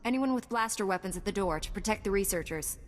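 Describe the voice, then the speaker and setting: A woman playing a calm, resolute Jedi knight in a video game, composed and concise. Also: mid-range voice, fast, a little hiss or hum, close to an everyday voice. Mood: composed, determined.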